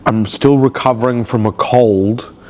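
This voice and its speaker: A man's voice: mid-range voice, fairly even intonation, measured pace, a little mellow. A man with a cold, sounding nasal, talking emotionally and rambling in a confessional video diary. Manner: rambling, emotional, searching for words. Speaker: vlogger.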